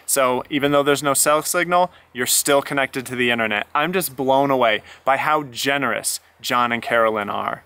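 A man's voice: mid-range voice, normal speed, hardly any pauses, bright, forceful tone, clear, resonant tone. A man narrating a casual travel vlog in a friendly, informative way. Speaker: RV travel vlogger.

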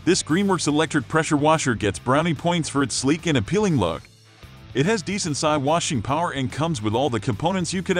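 A man reading a scripted product review voice-over in an upbeat sales-pitch manner. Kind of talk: scripted promotional voice-over narration.

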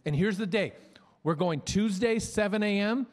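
A man in his forties with a noticeably high voice addresses his congregation from a church stage. He speaks casually into a handheld microphone, often restarting and repeating words. His voice rings out with a long hall reverb. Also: moderate pace. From Minnesota. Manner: conversational, many restarts and stammered repeats. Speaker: pastor. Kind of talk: church announcements and guest introduction. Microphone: handheld mic.

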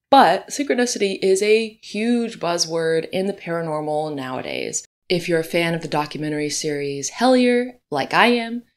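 A woman with a slightly low voice, hoarse from the early hour, hosts her solo podcast. She talks in a loose, chatty way and wanders into side notes. She sounds like she is recording at home. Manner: chatty, conversational, with asides. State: hoarse and deeper in the morning. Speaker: podcast host. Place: home room, birds outside.

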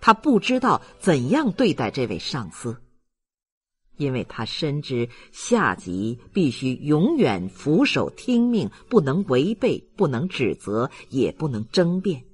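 A man's voice: on the high side, wildly swooping pitch, unhurried, husky. A man narrating a literary novel as a scripted audiobook reading.

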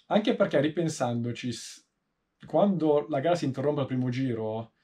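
Measured Italian man explaining and analysing. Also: medium pitch, dark tone. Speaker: motorsport video host. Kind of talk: informal race analysis commentary. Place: small indoor room, seated in a sim racing seat.

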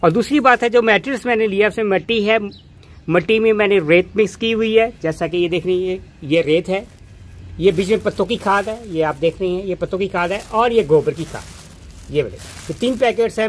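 A man's voice: high voice, slight background noise. A man explaining step by step how to plant flower bulbs outdoors in a rooftop garden, recorded on a camera phone held close, often repeating and restarting phrases. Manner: repeats phrases, many restarts and filler words. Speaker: home gardening channel host. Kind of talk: hands-on gardening demonstration. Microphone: camera phone held close, narrator off screen.

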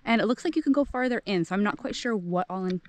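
A woman's voice: mid-range voice, lively intonation, quick pace, a little hiss or hum. A woman in her thirties chatting casually about a hike, filmed on a phone held at arm's length outdoors. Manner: chatty, informal, with fillers. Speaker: vlogger. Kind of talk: casual hiking vlog narration. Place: outdoors on dry grassy hills, later inside a van. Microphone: phone camera at arm's length.

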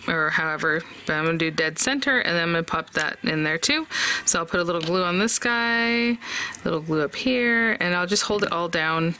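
A woman's voice: fairly low pitch, brisk, bright, forceful tone, slight background noise. A woman hosting a casual craft tutorial, chatty and upbeat, thinking aloud as she works at an indoor craft desk. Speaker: craft channel host. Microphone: overhead camera on desk, voice off-camera.

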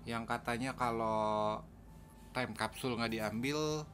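A man's voice: medium pitch, takes it slowly, a bit bright. A man with an Indonesian accent giving casual commentary on a video game he is playing. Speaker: gaming video host. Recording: clear background noise, game ambience.

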